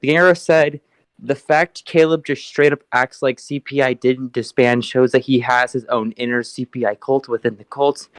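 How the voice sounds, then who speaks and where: A man's voice: medium pitch, fairly even intonation, moderate pace, dry recording. A man co-hosting a casual political stream, reading chat messages aloud and chiming in agreeably, hesitant and full of fillers.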